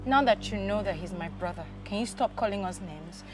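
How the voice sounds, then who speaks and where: A woman's voice: mid-range voice, brisk, soft background music, natural voice. A woman playing a pregnant wife who reproaches her husband. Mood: firm. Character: wronged pregnant young wife, firm.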